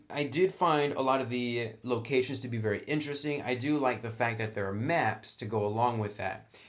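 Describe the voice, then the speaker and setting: A man speaking casually and conversationally as a reviewer, thinking aloud as he talks through his opinions. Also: mid-range voice. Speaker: tabletop game reviewer. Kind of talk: casual unscripted review to camera.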